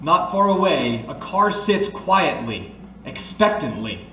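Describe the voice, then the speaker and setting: A man's voice: a little high, big pitch swings, slow pace, pushed and bright, full character voice. A man giving scripted, atmospheric narration for a radio detective drama. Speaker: narrator and bit player. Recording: echoey, distant mic, faint noise.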